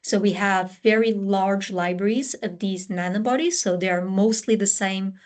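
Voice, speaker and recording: Portuguese-accented woman, interview guest, explaining at length, many ums and restarts, mid-pitched voice. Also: fairly even intonation, normal speed. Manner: explanatory, frequent fillers and restarts. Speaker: scientist guest. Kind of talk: conversational interview answers.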